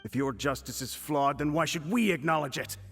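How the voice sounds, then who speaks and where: An actor playing an earnest, protective manservant with formal speech in a video game. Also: male voice, a little high, brisk, long reverb tail, close to an everyday voice. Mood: resolute. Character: steadfast, protective male servant of a young lady. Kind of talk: dramatic video game voice acting. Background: music.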